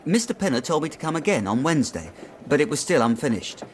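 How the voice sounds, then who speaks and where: A man's voice: on the high side, big pitch swings, fast talker, slight background noise. An actor playing an earnest, uneasy young male clerk in a period radio drama, eagerly and deferentially telling his story.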